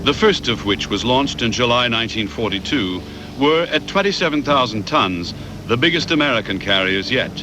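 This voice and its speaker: A man reads scripted documentary narration in a measured, factual way. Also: medium pitch, lively intonation, over music.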